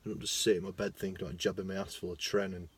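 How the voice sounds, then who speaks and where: Young Lancashire man, lowish voice, chatty and self-mocking, filming on his phone in his car. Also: little pitch movement, racing, slightly rough. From Lancashire, England. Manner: chatty, self-mocking, swings between loud bursts and mumbling asides. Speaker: vlogger. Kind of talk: casual rambling monologue. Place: parked car.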